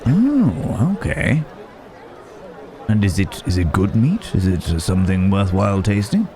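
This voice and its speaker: An actor playing a lonely, courteous werewolf traveller in a fantasy ASMR roleplay, musing hesitantly in old-fashioned phrasing. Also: male voice, low-pitched, brisk, a little mellow, lightly acted. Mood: curious, delighted. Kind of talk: immersive ASMR roleplay, improvised-sounding monologue. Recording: outdoor market crowd noise behind voice. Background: bustling village market ambience.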